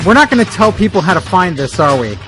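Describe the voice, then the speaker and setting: A man's voice: high voice, sing-song, fast, over music. A man chatting casually and jokingly as a co-host on a comedy podcast.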